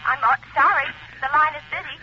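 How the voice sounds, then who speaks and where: An actress playing a brisk, unhelpful telephone operator in a 1940s radio drama, speaking in a formal, clipped, impersonal way. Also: female voice, high-pitched, close to an everyday voice. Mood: polite, detached. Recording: muffled, hissy old radio broadcast.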